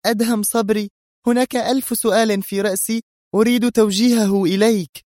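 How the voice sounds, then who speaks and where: A man's voice: sits high, fairly even intonation, brisk, darkish tone, no room sound, studio quality, voice pushed up, close to an everyday voice. A man voicing an indignant, exasperated female agent who exclaims her lines in an audio story.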